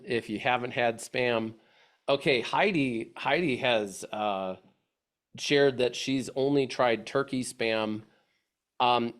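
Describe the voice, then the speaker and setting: A congested man with a stuffy nose, speaking at a middling pitch into a video call mic. He presents a webinar in a loose, chatty way, restarting sentences and stacking fillers. He comes across as a friendly, easygoing presenter. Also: clean tone. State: congested from allergies. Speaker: webinar presenter, agency head. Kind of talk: casual, rambling webinar presentation.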